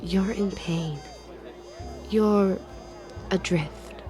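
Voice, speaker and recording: A woman's voice: medium pitch, extremely slow, dark tone, soft background music. An actress playing a poised, commanding, very wealthy woman in an audio drama, formal and self-assured. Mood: cool, assured.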